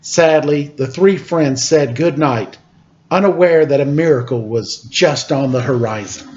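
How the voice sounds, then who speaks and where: A man hosting a children's story time, reading a picture book aloud in an expressive, dramatic way with casual asides. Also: a little high, measured pace, lo-fi sound, slight background noise. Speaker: storyteller and show host.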